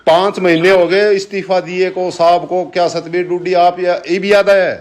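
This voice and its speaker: Higher-pitched male voice, insistent, repetitive questioning, respectful address, recorded phone conversation. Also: little pitch movement, normal speed. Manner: insistent, repeats his questions, deferential address. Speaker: local supporter on a phone call. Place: phone call.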